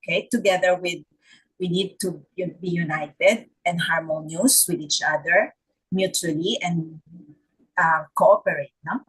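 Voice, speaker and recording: A woman's voice: fairly low pitch, a little slow, a bit bright, husky, low-quality recording. A woman from the Philippines sharing a reflection on a video call, hesitant, with many "uh"s and a tag "no?". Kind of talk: casual spoken reflection.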